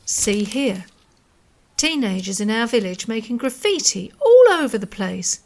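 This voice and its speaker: A woman's voice: on the high side, big pitch swings, unhurried, darkish tone. A woman playing a chatty, gossipy village newsagent in a radio drama.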